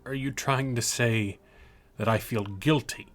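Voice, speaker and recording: A man's voice: medium pitch, measured pace, fairly bright tone, lightly acted. A man playing an aloof, detached Grim Reaper in an audio drama, speaking in terse, matter-of-fact lines. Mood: cool, guarded. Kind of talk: scripted audio drama acting.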